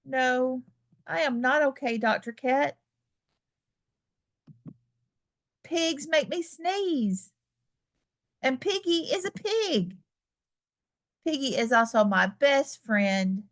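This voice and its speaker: A woman voicing an anxious, dramatic male elephant in a children's picture book, in a halting, exclaiming way. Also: high-pitched. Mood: miserable. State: sneezing, acted.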